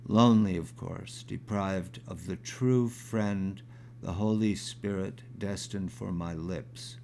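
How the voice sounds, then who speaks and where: Poet, man in his 60s, deep and gravelly, unhurried reading of his own verse. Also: fairly even intonation, a little mellow, a little hiss or hum. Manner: halting with fillers when talking, measured when reciting. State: crackly, gravelly voice.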